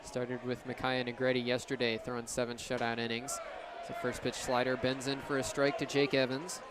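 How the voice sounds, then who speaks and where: A man's voice: medium pitch, little pitch movement, fairly quick. A man in his twenties doing live baseball play-by-play on the radio in clipped, descriptive phrases. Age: 20s. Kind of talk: live sports commentary. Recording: crowd and outdoor ballpark noise behind. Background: ballpark crowd.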